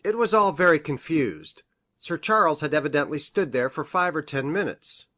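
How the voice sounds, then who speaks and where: A woman acts out a grave gentleman doctor from a detective storybook. He speaks formally and precisely, in measured sentences, with a lively rise and fall in pitch. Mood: matter-of-fact. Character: grave, hesitant male country doctor, troubled witness. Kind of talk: audiobook character reading.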